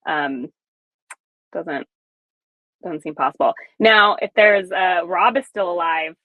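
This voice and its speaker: A woman's voice: fairly low pitch, takes it slowly, no echo. A woman hosting a casual fan chat, joking in a wry way, recorded with poor, thin audio. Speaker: host. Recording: thin phone-like audio, very dry.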